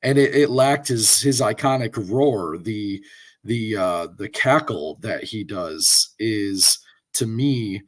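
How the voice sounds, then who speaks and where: A man with a hoarse voice from a cold, chatting casually as a podcast co-host and giving rambling, opinionated takes with lots of fillers. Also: lowish voice, expressive, slow pace. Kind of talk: casual chat.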